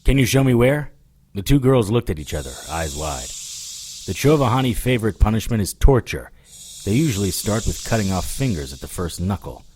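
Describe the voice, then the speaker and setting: A man's voice: on the low side, sing-song, fairly quick, a little mellow, slight background noise. A man playing a wry, hard-boiled hero, narrating a scripted audio drama in the first person with dry sarcasm. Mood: grim. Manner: dry, sardonic. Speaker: narrator and lead character.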